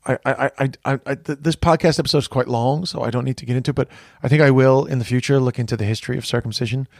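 A man's voice: medium pitch, steady tone, very fast, gravelly, darkish tone, dry recording, clean studio sound. A man in his forties with an American accent hosting a podcast in casual chat, rambling with frequent restarts. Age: 40s. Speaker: podcast host.

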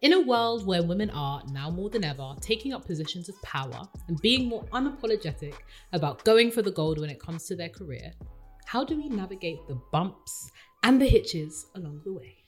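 A woman's voice: lowish voice, brisk, soft background music. A young woman co-hosting a podcast, chatting playfully and reading out a listener's letter. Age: young adult. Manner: expressive, playful, jumps in with quips. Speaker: podcast co-host.

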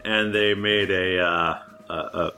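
A man's voice: low-pitched, steady tone, slow, background noise. A man with a head cold hosting a casual podcast as dungeon master, chatting loosely with many restarts. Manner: loose, rambling, full of restarts.

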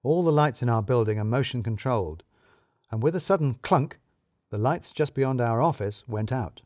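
A man's voice: mid-range voice, animated, normal speed, a little mellow, no room sound. A man giving dry, wry, scripted first-person narration of a comic mystery. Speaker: audio drama narrator.

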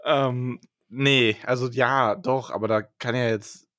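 A man's voice: mid-range voice, fairly even intonation, takes it slowly, a bit bright, no echo. A man co-hosting a podcast in casual chat, hesitant and hedging, with dry humor. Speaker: podcast co-host.

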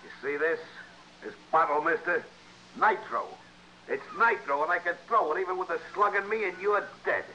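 A man's voice: sits high, normal speed, sharp tone. A man playing a threatening safecracker in a 1960s radio drama, taunting and agitated. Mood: defiant, desperate. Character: defiant, threatening male safecracker crook. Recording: dull, noisy old radio recording.

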